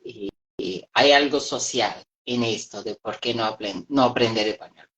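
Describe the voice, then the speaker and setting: A woman's voice: very deep voice, normal speed, fairly bright tone, rough recording. A woman who teaches languages, talking casually as an interview guest.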